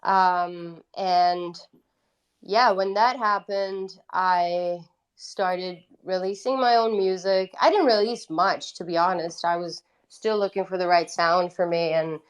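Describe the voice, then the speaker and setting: A woman's voice: on the low side, steady tone, slow, clear, resonant tone, low-quality recording. A woman in her twenties from Italy, a native Italian speaker, casually telling stories as an interview guest in a chatty, candid way. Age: 20s. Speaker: interview guest, independent musician. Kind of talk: casual personal storytelling.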